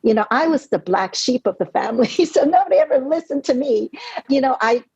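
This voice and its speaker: Husky-voiced woman telling her story. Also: sits high, animated, fairly quick, darkish tone, no room sound. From born in Hong Kong, raised in New York City. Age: 40s. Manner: earnest, reflective storytelling with frequent "you know". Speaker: novelist guest. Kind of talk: conversational podcast interview. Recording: dry, dull lo-fi audio.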